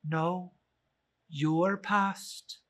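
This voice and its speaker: A man's voice: high voice, steady tone, extremely slow, fairly bright tone, exaggerated voice. A man voicing an ageless, childlike yet elderly Christmas spirit in a storybook reading, solemn, stern and declamatory.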